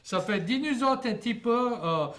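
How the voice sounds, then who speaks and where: A man with a Louisiana Cajun French accent hosting an interview, halting and full of fillers. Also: very high voice, animated, fairly bright tone. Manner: halting, many fillers and restarts. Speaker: host. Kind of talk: broadcast interview.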